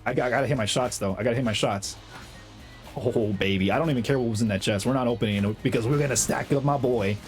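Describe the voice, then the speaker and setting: A man's voice: mid-range voice, fast, faint music behind. Young man with a cold, hyped gameplay chatter. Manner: hyped, chatty, slangy, lots of fillers. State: has a cold, slightly hoarse. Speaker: gaming video host. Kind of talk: casual gameplay commentary.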